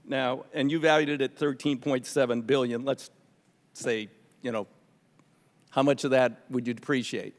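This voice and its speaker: A man's voice: mid-range voice, fairly even intonation. Skeptical American man in a reverberant hearing room. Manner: halting, skeptical, pressing. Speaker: legislator questioning witnesses at a hearing. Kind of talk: off-the-cuff questioning and argument at a legislative hearing. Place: large indoor hearing room.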